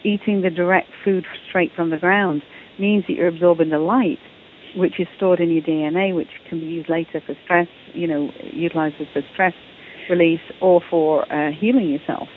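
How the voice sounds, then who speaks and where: A woman's voice: lowish voice, steady tone, moderate pace, slight background noise. A woman with an English accent, a guest author talking eagerly and often repeating words as she starts sentences. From England. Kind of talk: casual interview talk. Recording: tinny phone line.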